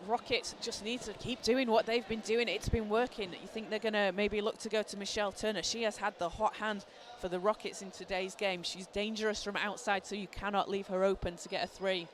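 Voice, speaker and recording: A man, hoarse from a cold, giving excited live play-by-play basketball commentary in an indoor arena. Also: high-pitched, fairly quick, hardly any pauses. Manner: excited, shouting calls on big plays. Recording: clear arena noise behind.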